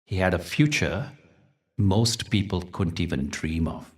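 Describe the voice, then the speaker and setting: A man with a deep, low voice leads a guided meditation. He speaks gently and deliberately, pausing for effect as he tells stories and soothes the listener. His voice sits a little distant from the microphone. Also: big pitch swings. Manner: gentle, deliberate, soothing delivery with dramatic pauses. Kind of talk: guided meditation talk with storytelling.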